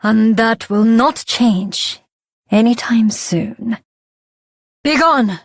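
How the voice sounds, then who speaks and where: A woman's voice: fairly high pitch, drawn-out words, no room sound, studio quality, heavily acted. A woman playing a haughty figure who scorns outsiders, imperious and curt, in a video game. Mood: scornful. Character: haughty, hostile woman rejecting foreign visitors. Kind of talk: dramatic video game voice acting.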